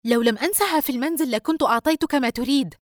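Casting: female reader as exasperated young working woman, raised pitch, wounded and indignant, audiobook drama. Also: expressive, fast, a little mellow, no room sound, studio quality, close to an everyday voice. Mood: angry. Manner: indignant, incredulous, pleading.

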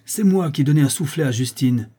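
A man's voice: mid-range voice, a little fast, warm tone, slightly rough, close to an everyday voice. A man voicing a proud, stubborn little aristocratic boy in a storybook, with a haughty, vehement delivery. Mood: enraged, defiant.

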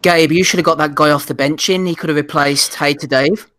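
A man's voice: fairly high pitch, steady tone, brisk, pushed and bright. A man hosting a casual podcast, steering the talk between topics with teasing asides. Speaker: podcast host. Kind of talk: casual podcast chat about boxing.

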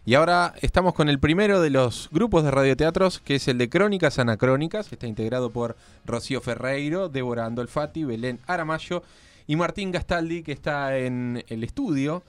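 High-voiced Argentine male radio host. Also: a little fast. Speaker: radio host and guest actor. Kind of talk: live radio presenting. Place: radio studio.

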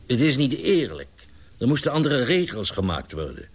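A man's voice: lowish voice, expressive, normal speed. A man voicing a big, boisterous, doting pigeon owner in a storybook, exclaiming and coaxing with plaintive indignation. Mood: indignant.

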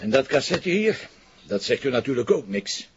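An actor playing a gruff, sardonic Flemish police commissioner in a television drama, with a gravelly, commanding delivery. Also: male voice, mid-range voice, very animated, moderate pace, slight background noise, close to an everyday voice. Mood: accusing, sardonic. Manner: sarcastic, commanding, swearing. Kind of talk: naturalistic television drama acting. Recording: dull, lo-fi TV audio.

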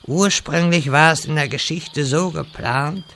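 Man acting as touchy grand wizard, slightly high voice, unhurried, theatrical, slangy when flustered, fantasy parody audio play. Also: fairly bright tone. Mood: grandiose.

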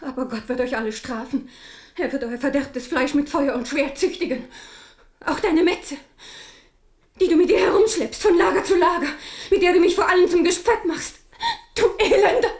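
Fervent, stern queen and mother for radio drama, played by a woman, higher-pitched voice, declamatory and devout. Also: moderate pace, natural voice. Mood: outraged. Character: proud, devout Protestant queen and protective mother. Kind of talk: German radio play acting.

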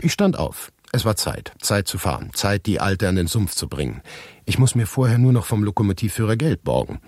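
Deep, husky man narrating radio drama. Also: a little mellow, no room sound. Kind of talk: dramatic first-person literary narration.

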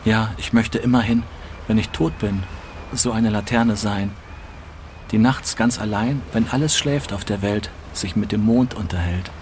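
A man giving a measured, expressive reading of literary texts. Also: on the low side, a little hiss or hum. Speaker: reader. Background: music.

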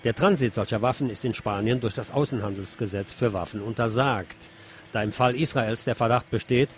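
A man presenting scripted news on community radio, reading in a measured reporting style with the odd dry aside. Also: mid-range voice, a little hiss or hum.

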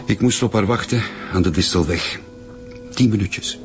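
A man acts out a young Turkish-Flemish suspect in a radio crime drama. His voice is low and his manner is defensive as he explains himself. Clear music runs underneath. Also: normal speed, natural voice. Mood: uneasy, explaining. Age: young adult. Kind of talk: naturalistic radio drama acting.

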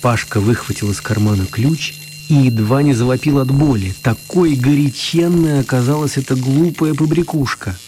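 A man narrating a children's radio play as scripted, expressive storytelling. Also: medium pitch, normal speed, hardly any pauses, slight background noise.

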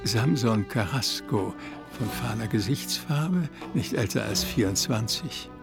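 A man's voice: fairly low pitch, lively intonation, measured pace, gravelly, over music. A man giving scripted narration as a narrator in a radio drama.

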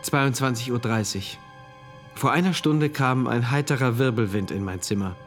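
A man's voice: mid-range voice, normal speed, faint music behind, lightly acted. An actor playing a troubled, introspective male diarist who narrates a dystopian radio drama in diary entries. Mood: brooding, detached.

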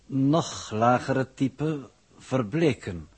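A coolly superior male scientist in a 1960s radio play. He speaks formal, pedantic at an unhurried pace. The voice is medium in pitch and a little rough. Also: expressive, heavily acted. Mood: condescending, detached.

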